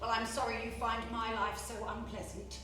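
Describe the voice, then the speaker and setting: A woman's voice: a little high, level tone, normal speed, sharp tone, slight background noise. A woman playing a stern, weary and protective mother with a wounded manner, in a stage radio play. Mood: stern, hurt. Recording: echoey theatre, distant, music behind.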